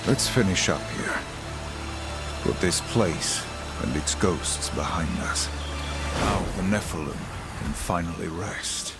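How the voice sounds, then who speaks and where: A man's voice: sits low, slow pace. A man playing a stern, dutiful horseman warrior in a video game, speaking in a solemn, formal, declamatory manner. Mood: grave. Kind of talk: game cutscene dialogue. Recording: music behind the voice.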